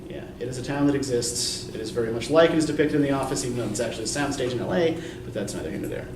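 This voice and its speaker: A man's voice: mid-range voice, racing, far from the mic, background noise. Rambling young male instructor in echoey classroom. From Eastern Pennsylvania. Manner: rambling, self-deprecating, frequent "right?" tags. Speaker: college writing instructor. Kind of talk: casual classroom lecture. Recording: echoey classroom recorded from afar.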